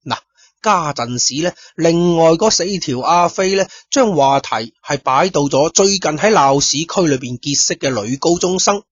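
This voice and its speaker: Chatty guy narrating a story, husky voice, muffled lo-fi recording. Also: on the high side, expressive, normal speed, dry recording. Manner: chatty, conversational asides to listeners. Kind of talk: storytelling narration of a radio drama. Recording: dull lo-fi recording.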